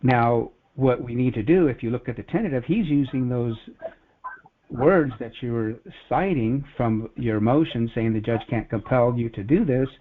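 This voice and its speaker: A man giving an earnest, rambling, casual personal account on a conference call. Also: medium pitch. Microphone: telephone conference call. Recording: phone-like conference call audio.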